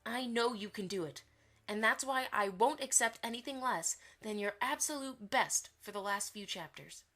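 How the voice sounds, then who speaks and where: Lady voicing a bossy no-nonsense mare publisher, highish bright sharp voice, firm lecturing, fan radio play. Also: normal speed. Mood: stern, firm. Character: stern commanding mare publisher, blunt and demanding. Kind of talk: fan audio drama acting.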